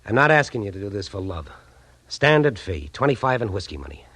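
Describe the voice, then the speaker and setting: An anxious detective, fast talk, sharp bright voice, dry close sound, old radio broadcast. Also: male voice, on the low side, big pitch swings, slightly rough, dry recording, full character voice. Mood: tense. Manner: quick, tense and confiding. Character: worried male detective, friend of the hero. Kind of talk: 1940s radio drama acting.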